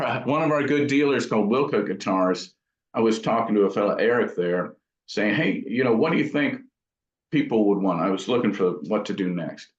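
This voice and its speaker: A man with a fairly low voice speaks in a casual interview as a craftsman who builds gear. He is thoughtful and halting, working through technical detail in an informal way. The sound is dull and lo-fi, with a strong room echo. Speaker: amp builder and company founder being interviewed.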